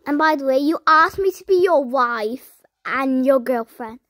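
A young girl hosting her own channel, chatting as she reads viewer comments aloud and answers them with sudden high squeals. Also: animated, measured pace, fairly bright tone, no room sound, clean studio sound. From United Kingdom. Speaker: channel host. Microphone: phone.

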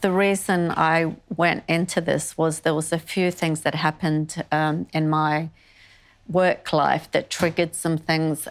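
An older woman from northern Greece who moved to Australia as a child, speaking candidly and thoughtfully as an artist being interviewed. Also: deep voice. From born in northern Greece, moved to Australia as a child. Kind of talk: reflective conversational interview. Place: indoor wood-panelled art studio. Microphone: lapel mic.

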